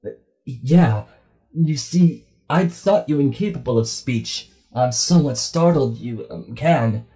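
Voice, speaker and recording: A man playing a hesitant, easily exasperated research doctor interviewer with a halting, conversational manner, in an audio drama. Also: medium pitch, mellow, faint music behind, voice pushed up. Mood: startled, hesitant.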